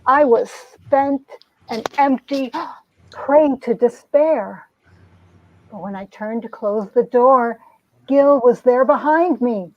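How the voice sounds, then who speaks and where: Brief: woman as proud, wounded young romance heroine, highish voice, earnest melodrama, dry lo-fi recording. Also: slow pace. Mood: melodramatic narration. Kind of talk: dramatic reading of a 1950s romance comic, narration and dialogue. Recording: dull, lo-fi sound.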